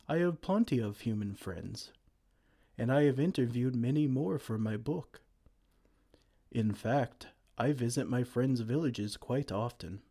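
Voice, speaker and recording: A man playing a gentle, soothing giant. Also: medium pitch, creaky, breathy edge, heavily acted. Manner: soft, soothing, comforting. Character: gentle kind male giant, bookish and caring. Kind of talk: ASMR roleplay.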